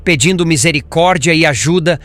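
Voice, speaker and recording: A man voicing a patient, solemn, learned spirit instructor in formal, measured audiobook narration. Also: a little high, fairly bright tone, natural voice. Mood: solemn, grave.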